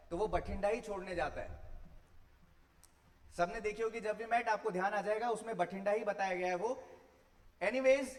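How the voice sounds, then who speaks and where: A man with an Indian accent giving an explanatory law lecture, mixing Hindi and English and putting rhetorical questions to students. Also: sits high. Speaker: law teacher. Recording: long hall reverb.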